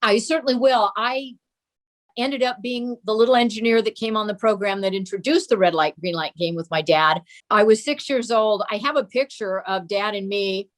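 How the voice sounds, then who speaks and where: An older woman reminiscing conversationally as an interview guest, telling anecdotes in a measured way. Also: medium pitch. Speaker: interview guest recalling a parent's radio career.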